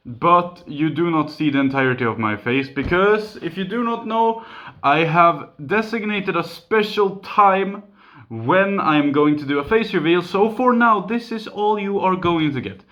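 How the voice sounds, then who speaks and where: Male, 20s, Swedish accent, fairly high voice, casual home vlog on a phone, filler-heavy delivery. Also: lively intonation, unhurried, muffled recording. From Sweden. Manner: chatty, many fillers and restarts. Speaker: YouTuber. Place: home room. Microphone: phone camera.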